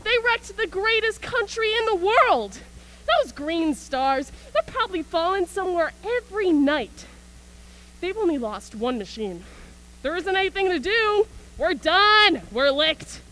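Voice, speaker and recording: High, bright-voiced manic soldier played by a woman. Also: sing-song, measured pace, heavily acted, low-quality recording. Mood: bitter, wary. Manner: colloquial, ranting, punctuated by scornful laughs. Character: embittered, manic militia soldier, survivor of a Martian invasion. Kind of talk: stage radio play acting. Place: school auxiliary gym.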